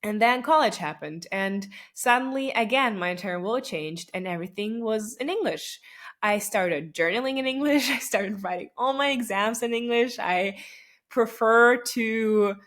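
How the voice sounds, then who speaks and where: A woman's voice: a little high, normal speed, fairly bright tone. A young woman whose first language is Russian, talking through her thoughts on her own podcast in a loose, chatty way with many fillers, restarts and small laughs. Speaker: podcast host. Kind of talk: casual solo podcast reflection.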